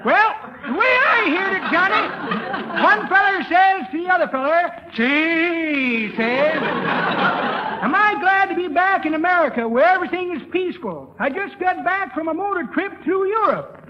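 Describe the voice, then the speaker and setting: A man's voice: extremely high pitch, expressive, moderate pace, a loud crowd. An actor playing a cheerful, heckling old man with a folksy storytelling manner in 1930s radio comedy. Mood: teasing. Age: older. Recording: noisy, muffled 1930s radio recording.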